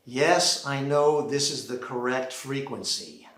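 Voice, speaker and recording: An American man performing a theatrical solo monologue, deliberately acting out one side of a phone call on a theater stage. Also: mid-range voice, fairly bright tone. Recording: roomy, echoing live theater sound.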